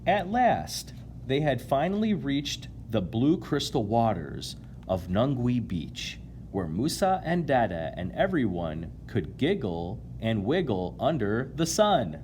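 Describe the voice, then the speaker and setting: A man reading a children's picture book aloud in an expressive, playful way, drawing out key words and chatting to his young listeners. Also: mid-range voice, slow pace, slight background noise. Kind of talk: animated read-aloud to children, with asides to listeners.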